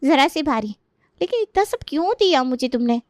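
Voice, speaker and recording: Woman playing a high-voiced affectionate married daughter. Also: expressive, a little fast, a little mellow, dry recording, clean studio sound. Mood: mildly complaining.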